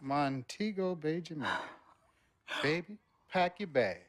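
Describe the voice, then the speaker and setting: A man's voice: on the high side, expressive, slow. An actor playing a proud, stubborn, loving middle-aged New father, emphatic and plain-spoken. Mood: delighted.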